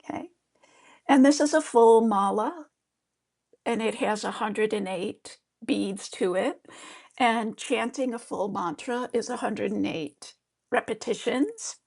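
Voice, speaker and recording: A middle-aged woman with a high, slightly gravelly voice leads a beginner's mantra meditation. She speaks slowly and gently, encouraging listeners with relaxed fillers and small restarts. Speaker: yoga therapist teaching mantra. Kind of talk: guided meditation lesson.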